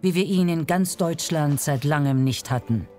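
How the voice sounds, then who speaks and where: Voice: mid-range voice, moderate pace, mellow, faint music behind. A narrator reading a scripted historical documentary.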